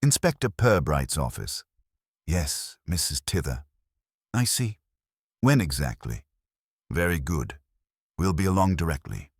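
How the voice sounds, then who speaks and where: A man's voice: a bass voice, sing-song, measured pace, long pauses, breathy edge, no room sound, studio quality, heavily acted. A man reading the part of a dutiful, polite police sergeant in a clipped, courteous way for a mystery story. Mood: weary, courteous.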